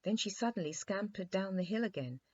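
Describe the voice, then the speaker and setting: A woman's voice: mid-range voice, animated, fairly quick, darkish tone, dry recording, dull audio. A woman narrating a scripted children's bedtime story.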